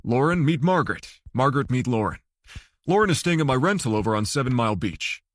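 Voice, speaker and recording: A man's voice: mid-range voice, lively intonation, quick pace, no room sound, clean studio sound, close to an everyday voice. A man voicing a sociable, casual island-born man in an audiobook. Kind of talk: audiobook character dialogue.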